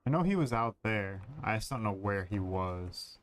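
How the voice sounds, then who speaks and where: Casting: relaxed male game streamer, lowish voice, chatty and a bit halting, faint hiss behind. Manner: thinks aloud, hesitant fillers, laid-back. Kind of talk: casual gaming commentary.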